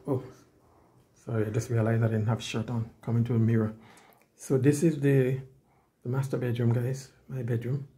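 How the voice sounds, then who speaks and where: A man's voice: mid-range voice, mellow, slightly rough. A man giving a casual walking tour of his house on a handheld phone, chatting to viewers and calling them guys throughout. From Caribbean. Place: house and yard, indoors and out. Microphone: handheld phone camera, filming while walking.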